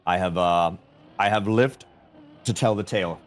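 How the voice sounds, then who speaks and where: A man's voice: deep voice, moderate pace. A man from Denmark, a streamer, chatting casually with his viewers, answering their questions aloud and poking fun at himself.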